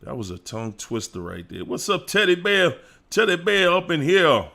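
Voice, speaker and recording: A man's voice: fairly high pitch, very animated, normal speed, vocal fry, pushed and bright. A man hosting a casual livestream, greeting viewers by name in a playful, hyped-up way and singing little snippets. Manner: playful, hypes up chat, sings snippets and laughs. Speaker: livestream host.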